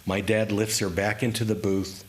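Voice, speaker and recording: A man giving a dramatic, reflective reading of his own memoir story, with deliberate pauses. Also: fairly low pitch, little pitch movement. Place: indoors in a historic house, live reading. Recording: clearly noisy live room recording.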